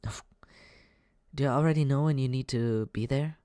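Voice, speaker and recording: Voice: mid-range voice, moderate pace, warm tone, no echo, studio quality, natural voice. An actor voicing a teasing but caring young companion in an intimate, conversational ASMR comfort roleplay, hesitant and reassuring. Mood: musing, caring.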